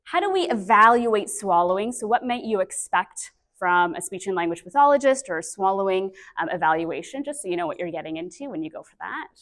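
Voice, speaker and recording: A woman in her thirties, a speech-language pathologist giving a lively, chatty conference talk on a microphone, with many fillers. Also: mid-range voice, fairly quick, few pauses. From Canada. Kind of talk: conference presentation. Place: conference breakout room. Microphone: handheld or stand mic with feedback.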